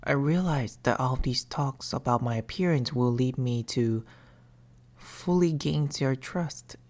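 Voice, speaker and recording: A woman voicing a patient, mournful male sleep paralysis demon in a hushed, formal ASMR roleplay, often whispering close to a binaural microphone. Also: a bass voice, a little slow. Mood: wounded, brooding.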